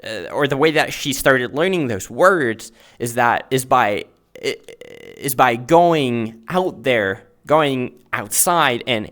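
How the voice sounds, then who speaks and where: A man's voice: medium pitch, a bit bright. A man whose native language is Finnish gives an informal explanatory lesson, searching for words and often checking in with "right?". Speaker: host and math teacher. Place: virtual reality chat world.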